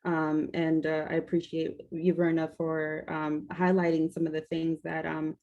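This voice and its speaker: A woman's voice: low voice, completely flat delivery, a little slow, darkish tone, dull audio. A woman from Washington, D.C. speaking as a panelist, hesitant, with many fillers and restarts. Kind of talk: panel discussion, advocating.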